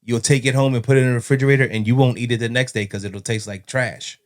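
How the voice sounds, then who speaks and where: A man's voice: medium pitch, fairly even intonation, fast. Laid-back, rambling man. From American. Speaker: podcast co-host. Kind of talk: casual chat.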